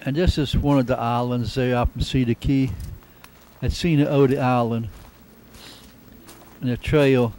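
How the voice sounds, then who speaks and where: A man narrating a casual travelogue in an unhurried, reflective, plain-spoken way. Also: mid-range voice, steady tone, a little mellow. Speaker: amateur travel videographer. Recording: noisy camcorder sound with outdoor ambience.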